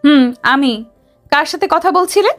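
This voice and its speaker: A woman's voice: high voice, expressive, unhurried, soft background music. A woman playing a curious, watchful young security team member in an audio story. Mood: suspicious, questioning.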